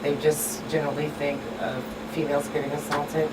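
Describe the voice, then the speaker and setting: A woman with a deep voice talks as a panelist in a discussion, conversational and emphatic. She is a bit distant from the mic, and there is clear background noise. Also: flat delivery, a little fast. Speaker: talk show panelist. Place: small radio studio. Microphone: boom-arm studio microphone.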